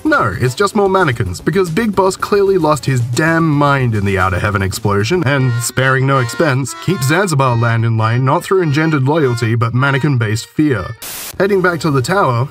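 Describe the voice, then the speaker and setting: A man hosting a video game show in scripted, dry and sarcastic comedic narration, his voice a little rough. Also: medium pitch, expressive, moderate pace, a bit bright. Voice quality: a little rough from a recent illness. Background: game music under the voice-over.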